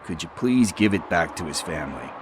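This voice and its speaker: An actor playing a chatty, evasive street hustler who talks in wheedling casual slang. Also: male voice, deep voice, animated, fairly quick, a little hiss or hum. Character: shifty street hustler, middle-aged man, chatty and evasive.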